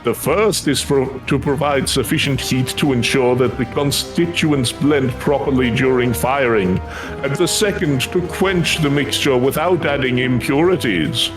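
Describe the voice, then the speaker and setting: A man's voice: mid-range voice, measured pace, lightly acted. A man voicing a courtly, earnest fantasy craftsman, devout and grateful, speaking quest dialogue in formal, flowery phrasing. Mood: admiring, eager. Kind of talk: voiced reading of fantasy game quest dialogue. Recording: music behind the voice.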